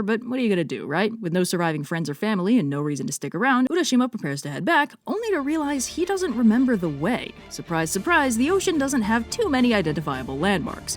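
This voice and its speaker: A woman narrating a scripted, humorous retelling of a folktale in a wry, conversational way with emphatic asides. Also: on the low side. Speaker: storyteller and narrator.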